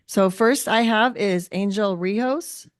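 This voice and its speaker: Slow-reading woman calling out names. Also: medium pitch, dry recording, clean studio sound. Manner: apologetic and informal, reading names carefully. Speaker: school administrator reading student names. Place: school board meeting room.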